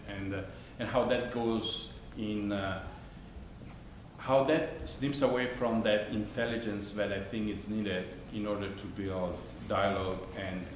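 A middle-aged man with an Italian accent speaks from a theater stage in a rather low voice. He talks thoughtfully, often restarting and circling back to his point. The sound rings around the room, with a faint hiss behind it. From Italy. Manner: thoughtful, halting, many restarts, gesturing. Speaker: panelist, conflict negotiator. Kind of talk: onstage discussion. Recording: echoey stage with faint noise.

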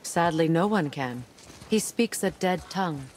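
An actress playing a wise, measured witch goddess who guides and instructs in a fantasy video game. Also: low voice, lively intonation. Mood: calm guiding. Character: wise, calm witch goddess, guiding and solemn. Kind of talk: video game cutscene dialogue. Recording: clear background noise from game ambience.

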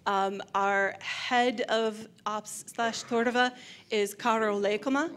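A woman's voice: a little high, fairly even intonation, slow pace, fairly bright tone. Eager woman presenting a convention bid. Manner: upbeat, eager. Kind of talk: panel presentation. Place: large hotel function room. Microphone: handheld microphone.

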